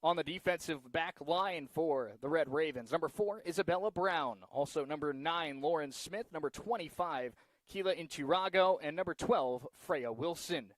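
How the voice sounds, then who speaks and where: A man announcing a live soccer broadcast in a measured, list-like way with emphatic phrase openings. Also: fairly high pitch, fairly bright tone, no echo. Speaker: sports play-by-play announcer. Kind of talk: live soccer broadcast commentary, reading lineups.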